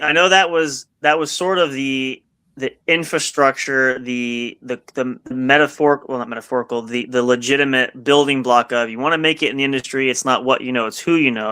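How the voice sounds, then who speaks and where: A man's voice: medium pitch, bright, forceful tone, smooth, dry recording. A man hosting a podcast in casual interview chat, rambling with lots of restarts and fillers. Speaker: podcast host.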